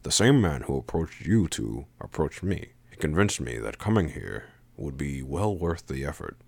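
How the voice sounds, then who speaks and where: A man voicing a bored, uncaring man in an audio story, speaking in a flat, curt, unenthusiastic way. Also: very deep voice, normal speed, creaky, husky, no room sound, full character voice. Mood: bored, dismissive.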